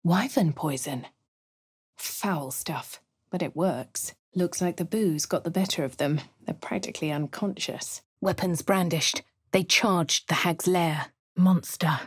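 Posh English adventurer, crisp and dry. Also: female voice, fairly low pitch, a little slow, no echo. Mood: wry. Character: posh young woman adventurer, cool and wry. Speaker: player character. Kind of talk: video game voice lines. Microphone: close studio mic.

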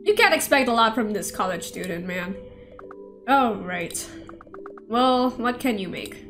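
Woman reading as a broke college student, visual novel character, slightly high voice, blunt, wry, slangy teasing. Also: expressive, unhurried, fairly bright tone. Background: game music.

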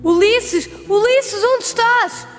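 Very high, echoing, imperious palace lady. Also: takes it slowly. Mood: frantic. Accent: European Portuguese. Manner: urgent, melodramatic calling out. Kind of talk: dubbed animated film acting. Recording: long hall reverb, music underneath.

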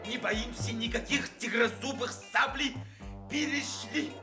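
A shrill, excitable scarecrow. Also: male voice, a little slow, reverberant, over music. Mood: urgent, commanding. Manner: shouting commands. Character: excitable straw scarecrow, bold and quick-witted. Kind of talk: Soviet radio play acting.

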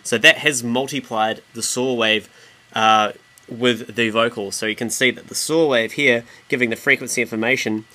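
A man with a New Zealand accent and a bright, forward voice presents an informal software tutorial. He explains each step casually in everyday slang, at a middling pitch. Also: fairly even intonation, moderate pace. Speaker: tutorial presenter and music producer.

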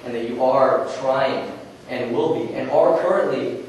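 A man's voice: mid-range voice, unhurried, a little mellow. A young man giving a conference workshop talk to an audience, earnest and conversational, asking questions and using casual fillers. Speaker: workshop presenter. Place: conference room, speaking to an audience. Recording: echoey room, distant mic, faint noise.

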